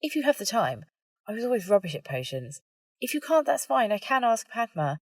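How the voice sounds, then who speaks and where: A woman's voice: fairly high pitch, very animated, fairly quick, slightly rough, no echo, clean studio sound, voice pushed up, full character voice. A woman voicing a frank, nosy, caring young witch in an audiobook, talking informally, both hesitant and blunt. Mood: awkward, hesitant. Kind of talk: audiobook character dialogue.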